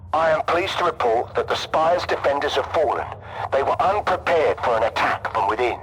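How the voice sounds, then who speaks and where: An actor playing a zealous renegade soldier in a video game, making a fervent, formal report by radio. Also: male voice, a little high, a bit bright. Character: zealous male renegade sergeant reporting to his dark master. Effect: radio transmission. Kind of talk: scripted video game acting. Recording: noisy clicking military radio transmission.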